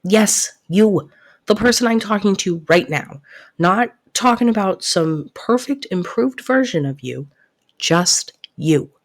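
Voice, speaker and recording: A woman playing a devoted, protective best friend in an intimate audio roleplay, soft-spoken and earnest, easily flustered. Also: medium pitch, expressive. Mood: insistent, sincere. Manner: earnest, reassuring, halting when flustered.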